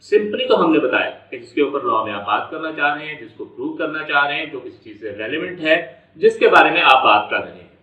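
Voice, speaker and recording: A man's voice: a little high, lively intonation, fairly quick, sharp tone. A man with a Pakistani accent giving an explanatory law lecture, repeating and rephrasing step by step and mixing in English legal terms. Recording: echoey room, distant dull mic.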